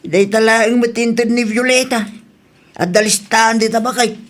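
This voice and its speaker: A man's voice: extremely high pitch, steady tone, normal speed, fairly bright tone. A man with a Filipino Ilocano accent playing a poor, mild old scrap collector in a radio comedy-drama. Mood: mild protest.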